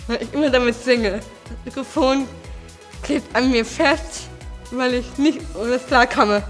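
A nineteen-year-old woman talking excitedly in an interview. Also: fairly high pitch, a little slow. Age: teens. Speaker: participant. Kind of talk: interview testimony. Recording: music bed under the voice.

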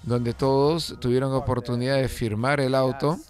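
Man, host voice-over, chatty, fillers, mid pitch, faint music behind. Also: level tone, moderate pace, a little mellow. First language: Spanish; lived in England over sixteen years. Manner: conversational, with frequent "eh" fillers and restarts. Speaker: show host narrating a car video. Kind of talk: unscripted voice-over commentary.

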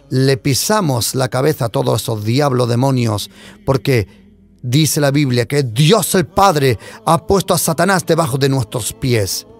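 Impassioned male preacher, lively pitch. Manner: impassioned, swings between loud declarations and hushed asides. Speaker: pastor. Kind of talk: preaching sermon.

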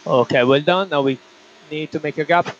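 A male race engineer speaks over a dull team radio link with faint noise. His voice is fairly high, and he gives short, clipped instructions and countdowns to his driver. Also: moderate pace.

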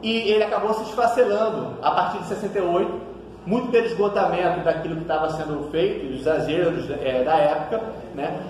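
A man in his thirties with a Brazilian Portuguese accent lectures with animated energy, his fairly high voice bouncing around a hard seminar room. He is picked up by a camera across the table, so the sound is distant, echoey and carries a long reverb tail. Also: fairly quick, slight background noise. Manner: animated, gesturing widely, frequent tag questions. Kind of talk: academic lecture. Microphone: far camera across the table.

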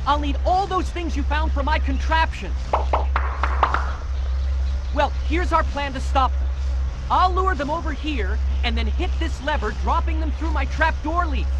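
A man voicing an eager, cheerful young inventor ant in an animated children's game, enthusiastic and earnest. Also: very high-pitched, background noise. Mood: excited, then eager, explaining. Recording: busy game music behind the voice.

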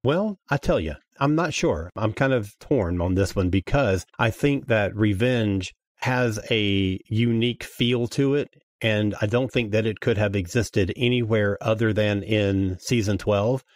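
A man with a fairly low voice talks casually as a guest on a review podcast. The sound is dry, with very little room on it. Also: a little slow, few pauses, dry recording.

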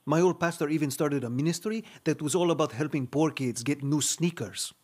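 Man acting a husky, earnest student. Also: fairly high pitch, brisk, darkish tone, natural voice. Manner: explanatory, earnest. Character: earnest, knowledgeable male Bible-study student. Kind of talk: radio drama acting.

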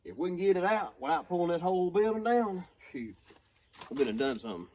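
A man's voice: high-pitched, big pitch swings, fast talker, darkish tone. A man, chatting casually outdoors in a rural yard while he works to free an old bus, drawling and whooping. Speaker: vlogger pulling out an old bus. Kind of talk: casual work vlog.

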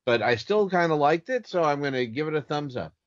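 A man's voice: fairly high pitch, expressive, a little fast, no echo, dull audio. A man speaking as a podcast panelist, giving his opinion in casual review chat.

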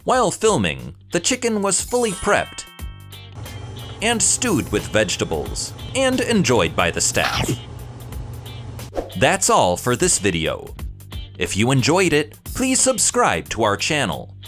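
Man narrating science with very animated intonation. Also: mid-range voice, takes it slowly, faint music behind. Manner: explanatory, presentational, addresses viewers with rhetorical questions. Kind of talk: scripted educational narration.

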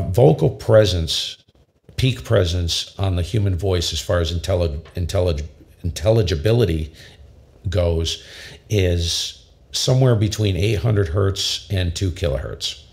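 Deep gravelly-voiced man. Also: unhurried, a little mellow. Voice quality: very low voice. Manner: enthusiastic, emphatic, repeats himself. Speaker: ham radio hobbyist explaining audio gear. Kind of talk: casual tutorial to camera. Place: home radio shack, small indoor room. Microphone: studio condenser mic with pop filter.

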